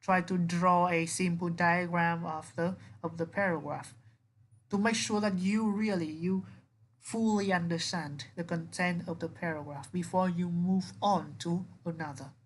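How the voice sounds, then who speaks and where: A woman's voice: on the low side, steady tone, a little slow, a little hiss or hum. A woman English teacher giving an explanatory vocabulary lesson, instructive, often repeating words and rephrasing them with synonyms.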